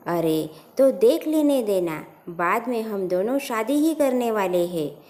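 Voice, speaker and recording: A woman's voice: mid-range voice, animated, measured pace, a little mellow, natural voice. A woman voicing an emotional, impatient young man in love in an audio story, pleading and informal. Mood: insistent.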